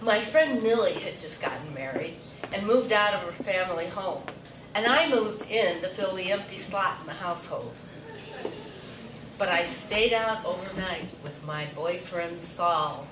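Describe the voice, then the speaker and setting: Older lady telling a wry story real slow, far from the mic in an echoey room, noisy. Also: lowish voice, lively intonation. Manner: wry, unhurried first-person storytelling. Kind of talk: personal story told to an audience. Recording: roomy hall from far off with noise.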